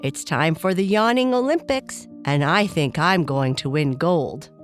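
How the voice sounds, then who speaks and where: A woman's voice: low-pitched, lively intonation, a little slow, faint music behind. A woman voicing a curious, eager little girl bear cub in a children's bedtime story, chatty and childlike. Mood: cheerful.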